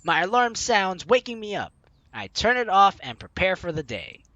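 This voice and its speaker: A man's voice: high-pitched, very animated, normal speed, pushed and bright, no room sound. A man hosting a gaming video, reading game narration aloud and throwing in jokey asides. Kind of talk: let's play commentary mixed with reading game narration aloud.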